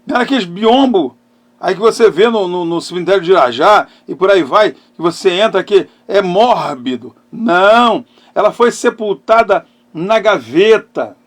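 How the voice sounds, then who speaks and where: A middle-aged man preaching and giving personal testimony as a pastor, emphatic, with dramatic pauses and swings between loud and hushed phrases. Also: high voice, lively intonation. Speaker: pastor and therapist hosting a live talk.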